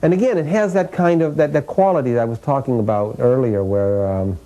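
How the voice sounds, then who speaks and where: A man with an American accent gives explanatory interview commentary as a historian, haltingly searching for his words. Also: mid-range voice, warm tone, dull audio.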